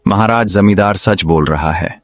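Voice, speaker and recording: A man's voice: fairly low pitch, moderate pace, no room sound, faint music behind, close to an everyday voice. A man voicing an envious, scheming villager in a cartoon story, sly and accusing in a matter-of-fact way. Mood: resentful, accusing. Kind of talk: Hindi cartoon moral-story voice acting.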